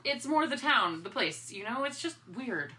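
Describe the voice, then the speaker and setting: A woman's voice: a little high, very animated, normal speed, bright, forceful tone, low-quality recording. A woman playing a sarcastic, skeptical teenage schoolgirl in a dramatic comic reading, arguing drily. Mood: dry, skeptical.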